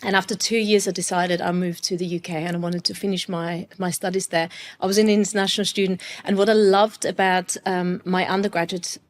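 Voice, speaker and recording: A woman's voice: fairly low pitch, fairly even intonation, brisk. A woman from Austria, a university executive, answering interview questions in an articulate, earnest way with occasional restarts.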